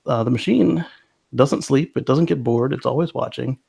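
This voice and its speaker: A man's voice: medium pitch, moderate pace, creaky, warm tone, husky. A man co-hosting a casual podcast, upbeat and optimistic, chuckling often and relaying comments from the live chat. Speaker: podcast co-host.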